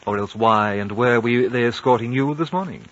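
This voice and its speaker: An actor playing a calm, courteous British diplomat, measured and quietly probing, in 1950s radio drama style. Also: male voice, mid-range voice, close to an everyday voice. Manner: measured, reasonable, gentlemanly. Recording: dull old radio broadcast.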